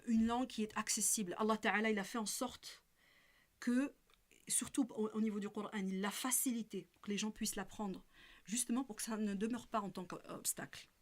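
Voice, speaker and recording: A woman gives a spiritual talk on a live stream and keeps pausing, restarting and filling gaps with small hesitations. Her voice sits at a middle pitch. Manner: hesitant, many fillers and restarts. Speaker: religious speaker.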